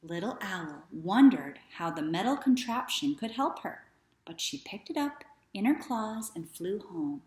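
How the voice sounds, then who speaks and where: A woman in her thirties reading a children's story aloud in a deliberate, expressive way. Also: medium pitch. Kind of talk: children's read-aloud narration. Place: small living room, indoors. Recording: echoey living room.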